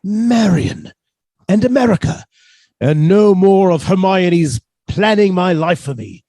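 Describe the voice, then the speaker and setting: A man playing a husky, hesitant old professor. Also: high voice, expressive, mellow, no echo, clean studio sound, exaggerated voice. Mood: quietly scheming. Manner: hesitant, polite, halting. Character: mild, hesitant, henpecked older botany professor, secretly scheming. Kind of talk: radio suspense drama acting.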